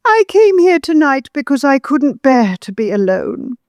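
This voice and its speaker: A woman's voice: high-pitched, sing-song, a little slow, darkish tone, no room sound. An actress playing a bitter, manipulative older mother-in-law in an audio drama, theatrical and guilt-tripping. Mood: tearful, pleading.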